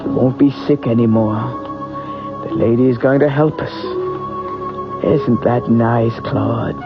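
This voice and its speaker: A secretive fortune teller played by a man speaks very slowly and deliberately, with cryptic insistence. The pitch is medium, and the delivery is old-time radio drama. The sound is dull vintage broadcast audio with music behind. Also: fairly even intonation, lightly acted. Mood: tender, crooning. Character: secretive fortune teller, doting parent to sick Claude.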